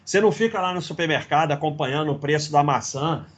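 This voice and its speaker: A man's voice: fairly high pitch, fast, slightly rough. A middle-aged man with a Brazilian Portuguese accent hosting a live investing stream, lecturing bluntly and sarcastically while going through slides. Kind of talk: casual livestream talk, reading slides.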